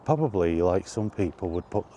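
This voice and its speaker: A middle-aged man chatting casually and reminiscing in a halting, thinking-aloud way, in short rambling phrases. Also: deep voice, expressive, warm tone, a little hiss or hum. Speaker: video creator and host. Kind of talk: casual reminiscing monologue about retro games. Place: outdoors while walking.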